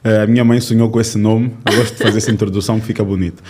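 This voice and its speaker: A man in his thirties from Angola, a youth ministry leader, telling his faith story in an earnest, storytelling way with filler pauses in a podcast interview. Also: lowish voice, fairly even intonation, a little mellow. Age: 30s.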